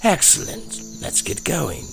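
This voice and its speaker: A man playing a gruff, blunt trapper and father in a fantasy audio drama, speaking in dry, formal phrases. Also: a little high, huge pitch swings, slow, music in the background, heavily acted. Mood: determined. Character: gruff father and trapper in a fantasy swamp forest, eager to act.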